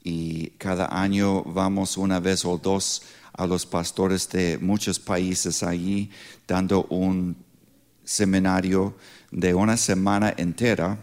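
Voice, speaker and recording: A man in his sixties with an American accent, a non-native speaker with English as first language, preaching informally as a missionary in halting speech with grammatical slips. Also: deep voice, little pitch movement, a little mellow. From Pittsburgh, United States. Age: 60s. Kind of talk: sermon with informal introduction.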